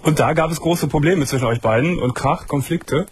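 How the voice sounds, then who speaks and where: A man's voice: a little high, normal speed, slightly rough. A man hosting a late-night radio call-in show, asking the caller teasing, probing questions. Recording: radio broadcast.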